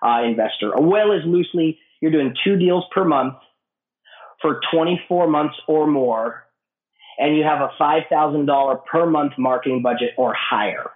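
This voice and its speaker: A man with a cold, his voice raspy and hoarse, answering interview questions at length with many restarts. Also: on the high side, normal speed. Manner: explanatory, with frequent restarts and fillers. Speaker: guest, business owner. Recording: tinny phone-like line in an echoey room.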